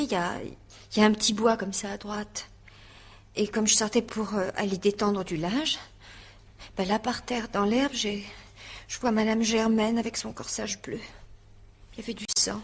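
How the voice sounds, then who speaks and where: A woman's voice: mid-range voice, husky. An actress playing a naive, chatty eighteen-year-old housemaid in a French radio mystery, stammering and rambling as she gives testimony. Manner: stammering, rambling, emphatic, colloquial.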